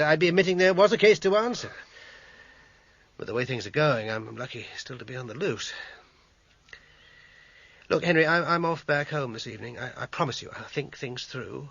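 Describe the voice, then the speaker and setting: A man's voice: a little high, fairly bright tone. An actor playing an agitated, faltering, weary man under suspicion of murder in a radio drama. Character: distressed, weary man suspected of murdering his sister. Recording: dull radio drama recording, faint music.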